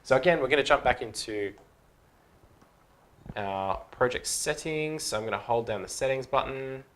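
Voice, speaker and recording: A man's voice: mid-range voice, moderate pace, a bit bright. Casual man giving step-by-step tutorial. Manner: informal, explanatory, checks along with okay. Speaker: presenter of product tutorials.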